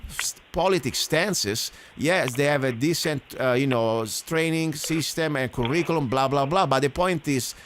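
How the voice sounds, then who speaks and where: A man's voice: a little high, normal speed, few pauses, fairly bright tone, slight background noise. Man, indignant radio host. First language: Italian. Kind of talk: casual radio talk.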